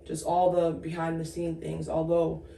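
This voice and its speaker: Low-voiced woman, echoey and off-mic. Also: monotone, vocal fry, dark tone, distant mic. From Sudan, raised in the Twin Cities. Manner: reflective, halting with restarts. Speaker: guest. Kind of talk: casual interview. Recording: echoey room, off-mic, faint noise.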